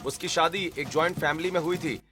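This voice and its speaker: Guy narrator reading a family story, bright sharp voice, a bit high. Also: quick pace, soft background music. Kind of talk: scripted Hindi story narration.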